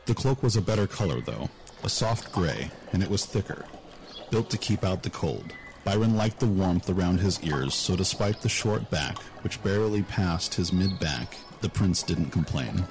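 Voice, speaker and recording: Deep-voiced male story narrator. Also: expressive, moderate pace, vocal fry, a little mellow, a little hiss or hum. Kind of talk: scripted fantasy story narration.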